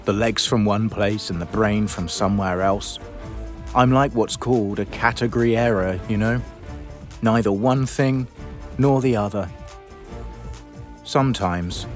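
A man acting a wistful young misfit in an audio drama, musing on himself in a fairly low voice. The monologue is introspective and sits over music. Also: fairly even intonation, a little slow, close to an everyday voice.

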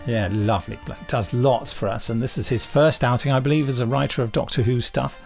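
A man's voice: medium pitch, fairly even intonation, fairly quick, vocal fry, a little mellow, faint music behind. Chatty British man hosting a podcast. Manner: chatty, rambling, gleeful quoting. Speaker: podcast host and drama director. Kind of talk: casual podcast chat.

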